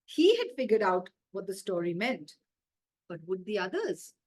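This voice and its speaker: A woman's voice: medium pitch, sing-song, normal speed, a little mellow. A woman with an Indian accent reading a children's story aloud expressively, as its author.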